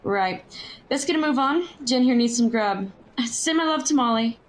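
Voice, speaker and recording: An actress playing a plucky, streetwise young Victorian match girl in a historical audio drama, brisk, slangy and teasing. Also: a little high, expressive, lightly acted, low-quality recording. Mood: friendly, matter-of-fact.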